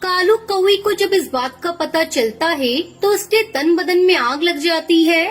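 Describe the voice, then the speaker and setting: A woman narrates a scripted children's tale. Her voice is extremely high. Faint music plays behind her. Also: lively intonation, a little slow, a bit bright. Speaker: story narrator.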